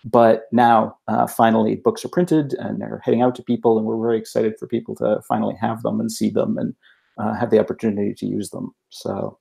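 A man giving a casual product update as a podcast guest over a video call, in halting phrases. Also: lowish voice, flat delivery, vocal fry, warm tone. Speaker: podcast guest, game publisher representative.

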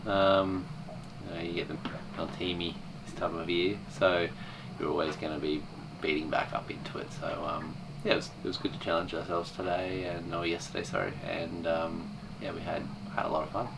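A man's voice: deep voice, fairly quick, creaky. A man giving a casual, unscripted sailing video diary. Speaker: sailing vlogger. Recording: distant mic, steady background hum.

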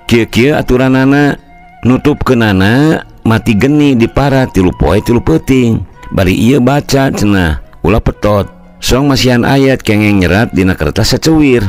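A man's voice: mid-range voice, a little mellow, natural voice. A man with a Sundanese accent voicing a polite village man who relays gossip respectfully in an audio folk story. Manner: deferential, chatty, storytelling.

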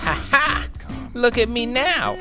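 A man voicing an excitable, squeaky little kitten for a children's picture book. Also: slow, over music, exaggerated voice. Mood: triumphant. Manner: exclaiming, squeaky. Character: excitable little pink kitten dreaming of being a unicorn.

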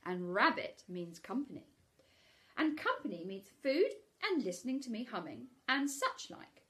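A woman's voice: on the high side, big pitch swings, normal speed, pushed and bright. A woman voicing a cheerful, greedy male teddy bear from a children's storybook, bouncy and eager. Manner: sing-song, eager, bouncy.

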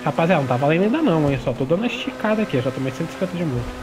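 A man's voice: a little high, lively intonation, rapid-fire, noisy background. A man from Brazil streaming a roleplay game, chatting in laid-back slang and talking to his viewers. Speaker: gaming streamer. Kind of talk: roleplay gameplay chat.

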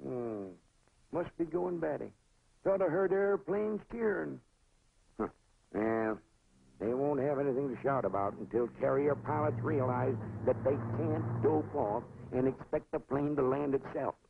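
A battered cartoon warplane, gravelly and slow. It moans dolefully and gloomily, in the manner of a 1940s animated film. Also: male voice, medium pitch. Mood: resigned. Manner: moaning, doleful. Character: groaning, gloomy, battered male cartoon navy airplane. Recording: tinny 1940s film soundtrack, faint music.

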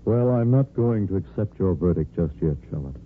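An actor playing a kindly older hospital chief of staff in a 1950s radio soap, reassuring and paternal. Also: male voice, low-pitched, lively intonation, normal speed, slightly rough. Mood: fatherly, gentle. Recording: muffled, noisy 1950s radio recording.

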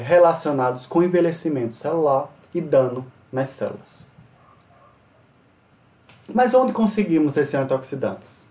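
Slightly high-voiced man lecturing in an echoey room. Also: recorded from a distance. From Brazil. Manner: steady explanatory delivery with occasional self-corrections. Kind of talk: recorded online conference lecture. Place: small indoor room. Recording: roomy echo, voice off-mic.

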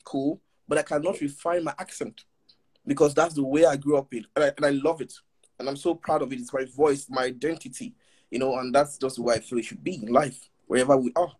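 A man with a Nigerian accent in his thirties hosts over a webcam call from a living room. His voice is slightly husky. He speaks earnestly and chimes in often. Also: mid-range voice, steady tone, brisk, darkish tone. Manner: earnest, backchannels with "yeah" and "true". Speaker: podcast host. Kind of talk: casual video-call interview. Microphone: video call webcam.

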